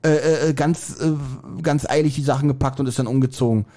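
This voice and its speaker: A man chatting casually as a podcast co-host, often halting and searching for words. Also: medium pitch, fairly even intonation, warm tone. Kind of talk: casual audio drama review chat.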